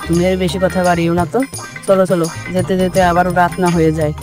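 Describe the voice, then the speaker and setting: A woman voicing a sharp-tongued, impatient young wife in a comedy cartoon, scolding, a little distant under music. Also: lowish voice, level tone, fast talker, close to an everyday voice. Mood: exasperated.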